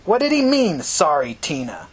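A man's voice: huge pitch swings, moderate pace, slightly rough, full character voice. A man voicing a menacing, bossy gangster in a short, demanding, growling manner for an audiobook story. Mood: furious, threatening. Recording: noisy recording with low rumble.

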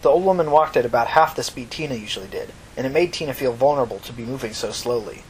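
A man's voice: medium pitch, fast talker, a little hiss or hum, poor audio. A man reading scripted fiction as a narrator.

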